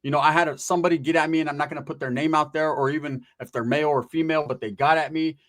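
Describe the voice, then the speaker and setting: A man's voice: on the high side, fairly even intonation, racing, a bit bright. A man hosting informal true-crime commentary, talking straight to viewers in emphatic street slang with rhetorical questions and some swearing. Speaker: online commentary host.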